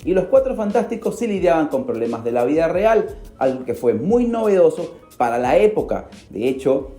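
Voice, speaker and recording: A man's voice: big pitch swings, normal speed, warm tone, echoey room, soft background music. A man hosting a radio podcast, telling a story in a theatrical way and speaking straight to the listener. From Peru. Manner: theatrical, addresses listener directly, swings pitch for emphasis. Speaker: podcast host. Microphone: studio microphone.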